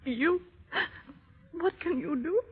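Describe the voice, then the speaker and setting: A desperate young wife in a 1930s radio melodrama, her high voice urgent and pleading. The recording is old, thin and lo-fi. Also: takes it slowly, full character voice. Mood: tearful, exalted. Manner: melodramatic, urgent, breathlessly earnest. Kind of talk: 1930s radio drama acting.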